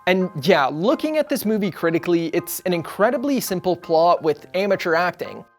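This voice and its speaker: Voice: medium pitch, sing-song, brisk. A narrator reading a scripted video essay in a casual, conversational way. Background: background music.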